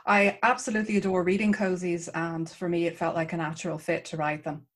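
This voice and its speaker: A woman from Ireland with an Irish accent, an author chatting warmly about her writing as an interview guest. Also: fairly low pitch, level tone, brisk. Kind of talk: casual interview chat.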